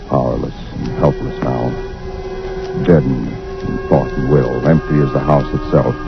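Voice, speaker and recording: An actor playing a haunted man who waits alone for his doom, narrating in slow, dread-filled old-time radio style. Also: a bass voice, vocal fry, exaggerated voice. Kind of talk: 1940s radio drama acting. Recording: dull lo-fi old radio recording with music.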